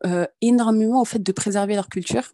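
A woman hosting a podcast, giving a scripted introduction and asking casual questions in a calm, measured way. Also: fairly high pitch. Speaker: podcast host.

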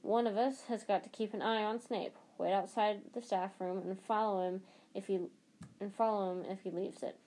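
A determined, defiant teenage boy, voiced by a woman reading aloud with an urgent, intense delivery. The voice stays close to her own mid-range pitch, lightly acted for a storybook. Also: steady tone, moderate pace, dark tone, natural voice, low-quality recording. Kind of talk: reading a children's novel aloud.

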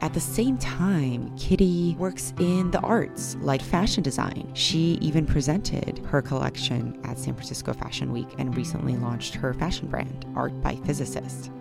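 Low voice woman host, reads the intro then asks eager questions, music playing under. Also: unhurried, vocal fry, hardly any pauses. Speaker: podcast host.

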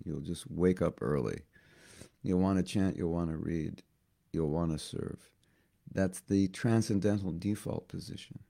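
A hoarse man giving a devotional class, talking haltingly and often repeating words as he thinks. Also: low voice, mellow. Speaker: spiritual teacher.

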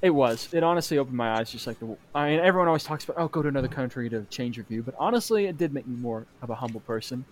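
A young man telling stories in casual podcast chat, animated and jumping into put-on voices. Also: very fast, few pauses, slight background noise. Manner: animated storyteller, jumps high into put-on voices. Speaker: podcast co-host.

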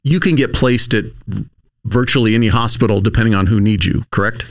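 A man's voice: fairly low pitch, fairly quick, vocal fry. A man hosting a podcast interview, asking questions in a conversational way.

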